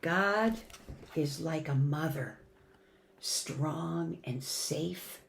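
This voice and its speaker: A woman reading a children's picture book aloud in an expressive, deliberate way, as a church storyteller. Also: sits low, gravelly, a little hiss or hum. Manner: expressive, deliberate, shifting between emphatic and hushed. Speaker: church family ministry storyteller.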